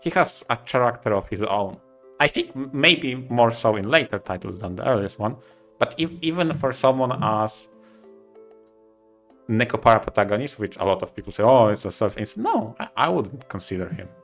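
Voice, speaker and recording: A man in casual podcast chat as a co-host, speaking haltingly and often repeating words as he forms his thoughts. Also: mid-range voice, animated, a bit bright, soft background music.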